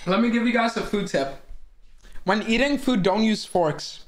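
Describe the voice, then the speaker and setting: A man's voice: high-pitched, normal speed, background noise. Young man joking around in a kitchen. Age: young adult. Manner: teasing, joking banter. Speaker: streamer. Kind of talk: casual stream chat. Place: home kitchen, indoors.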